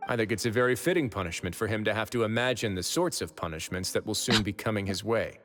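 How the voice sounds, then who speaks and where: A man playing a composed, authoritative video game character who speaks in a measured, wry, teasing way. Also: lowish voice, fairly bright tone, natural voice. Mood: coolly menacing. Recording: music behind the voice. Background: game background music.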